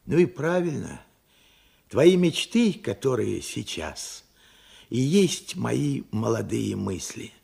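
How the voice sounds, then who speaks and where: An actor playing a frank, weary man in his late seventies in a radio drama, with a gravelly, husky voice and a halting, reflective manner. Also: medium pitch, sing-song, mellow, heavily acted. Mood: wistful, regretful. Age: 70s. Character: weary, frank 78-year-old Russian worker recalling his life. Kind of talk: Soviet radio drama acting.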